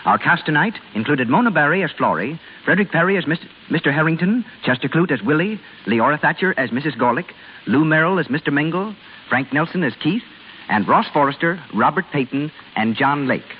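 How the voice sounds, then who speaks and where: A man's voice: on the high side, animated, fairly quick, slightly rough. A man working as a radio announcer, reading out credits in a formal 1930s broadcast style. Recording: tinny, hissy 1930s radio recording.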